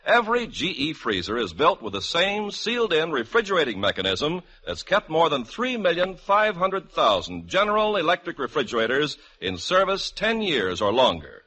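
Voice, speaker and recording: A man's voice: fairly high pitch, huge pitch swings, normal speed, hardly any pauses, pushed and bright. A man reading a 1950s radio advertisement as an announcer, with an emphatic salesmanlike delivery. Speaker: commercial announcer.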